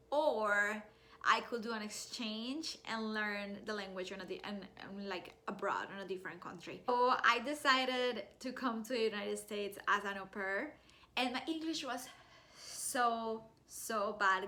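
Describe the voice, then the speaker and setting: A woman's voice: fairly high pitch, bright, forceful tone. A young woman with a Colombian Spanish accent, a native Spanish speaker, telling her own business story in a chatty, upbeat way straight to her viewers. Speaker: digital marketing business owner. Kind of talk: personal story vlog to camera.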